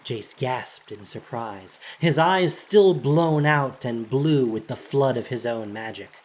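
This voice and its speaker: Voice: medium pitch, lively intonation, slow, a little mellow, rough recording. A narrator reading a scripted, dramatic story for an audio drama.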